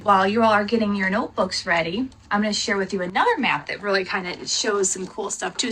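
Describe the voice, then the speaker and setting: A woman's voice: fairly high pitch, bright, forceful tone, slight background noise, poor audio. A woman hosting a live lesson for children, explaining things instructively, spelling out words and speaking directly to her viewers. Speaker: homeschool teacher, lesson host.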